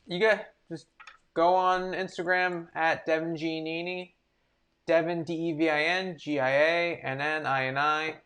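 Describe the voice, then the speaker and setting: A man's voice: fairly high pitch, flat delivery, unhurried, fairly bright tone. A man appearing as a guest comedian on a podcast, joking in a self-mocking way. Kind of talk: casual podcast banter.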